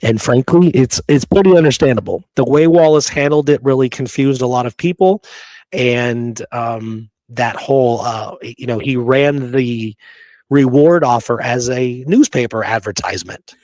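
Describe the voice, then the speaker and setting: A man co-hosting a history talk show, discussing and reading out records in an emphatic, conversational way with halting asides. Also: medium pitch, a little mellow, dry recording. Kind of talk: conversational history discussion with read-aloud documents.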